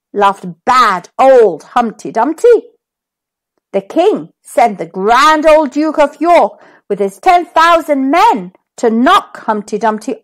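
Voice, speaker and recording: A woman hosts a children's read-aloud in a high voice. She narrates expressively at a slow pace, with very lively rises and falls for young listeners. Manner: animated, deliberate. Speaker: children's story reader and host.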